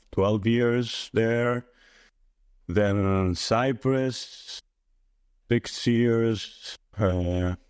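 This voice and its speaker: Man, low pitch, clean smooth tone, halting English, casual guest chat. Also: darkish tone, no echo, studio quality. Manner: halting non-native English with frequent "you know". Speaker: interview guest. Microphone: mobile device.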